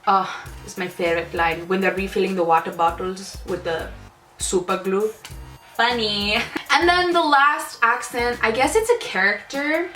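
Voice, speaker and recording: A woman's voice: mid-range voice, normal speed, bright, forceful tone. A young woman vlogger chatting casually and animatedly to camera, giggling often. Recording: roomy room, distant mic, faint music.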